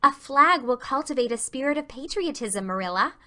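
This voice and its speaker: A woman's voice: sits high, expressive, a little fast, pushed and bright, pitched above the performer's own voice. A woman voicing an earnest, romantic, effusive schoolgirl in a dramatized audiobook, with gushing, dramatic delivery. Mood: eager pleading.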